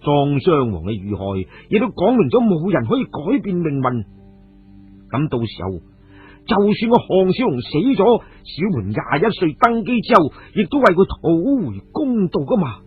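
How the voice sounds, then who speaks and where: Male narrator, Cantonese accent, dramatised radio storytelling: highish, gravel-husky voice, faint music behind. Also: big pitch swings, moderate pace. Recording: muffled phone-like radio audio, music behind.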